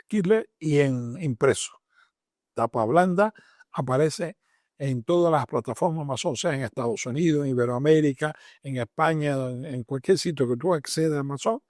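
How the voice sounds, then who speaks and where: A man's voice: on the high side, darkish tone, dry recording, studio quality. An older man with a Cuban accent answering interview questions emphatically, repeating phrases as he builds lists. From Matanzas province, Cuba. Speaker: interviewed doctor and author.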